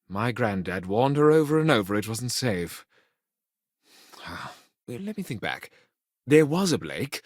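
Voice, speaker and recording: Folksy frontier official, lively pitch, dry recording. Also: male voice, dry recording. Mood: guarded, serious. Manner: folksy, polite but firm, talkative. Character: folksy, proud male city official in a frontier space colony. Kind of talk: video game dialogue acting.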